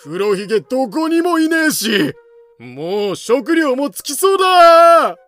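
A man's voice: extremely high pitch, very animated, slow, fairly bright tone, no echo, soft background music, exaggerated voice. A man playing a blustering, dim-witted pirate captain in a fan-made audio drama. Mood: loud, blustering. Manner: blustering, cocky. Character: brash, dim-witted, hot-headed male pirate captain.

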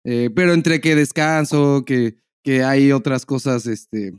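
A man's voice: medium pitch, steady tone, takes it slowly, clean tone, no room sound, clean studio sound. A man with a Mexican accent hosting a podcast in casual, unscripted banter full of slang and swearing. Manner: slangy, profane. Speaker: podcast host.

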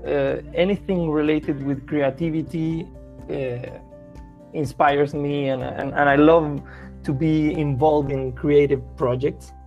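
Chilean guest, young man, halting casual talk over faint music. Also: fairly high pitch, fairly even intonation, dull audio. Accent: Chilean Spanish. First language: Spanish. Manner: hesitant, with many restarts and fillers. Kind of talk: casual interview chat.

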